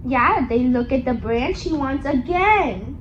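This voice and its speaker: High-pitched woman reading slowly to a child. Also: animated, lo-fi sound, a little hiss or hum. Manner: coaxing, questioning, sing-song child-directed talk. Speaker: storybook reader for a young child. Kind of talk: dialogic picture-book read-aloud with questions to a child.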